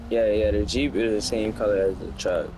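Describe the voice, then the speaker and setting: A low-voiced man chats in a game lobby with blunt, laid-back slang. Game traffic hums clearly behind him. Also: steady tone, normal speed, dark tone, poor audio. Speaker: player in a roleplay crew. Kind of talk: casual voice chat.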